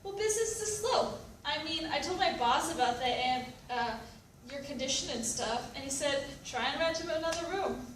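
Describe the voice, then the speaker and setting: A woman plays a sheepish motel clerk with something to hide. The voice is high and theatrical, set back in an echoey room with slight noise. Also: lively intonation, normal speed, fairly bright tone. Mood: flustered, sheepish. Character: apologetic, shifty motel clerk cheating a forgetful guest. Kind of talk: readers theatre stage acting. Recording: echoey room, distant mic, background noise.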